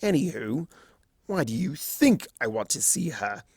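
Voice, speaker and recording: A man's voice: medium pitch, big pitch swings, unhurried, vocal fry, darkish tone, husky, no room sound, studio quality, heavily acted. A man voicing a mischievous, smug male chaos creature from a cartoon fan story, theatrical and mocking, drawing out his words. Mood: smug teasing.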